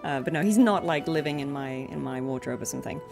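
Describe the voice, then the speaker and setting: A woman's voice: extremely low pitch, quick pace, vocal fry, over music. A woman streamer chatting casually and appreciatively, rambling from thought to thought. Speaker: streamer and voice actress. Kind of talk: casual livestream chat.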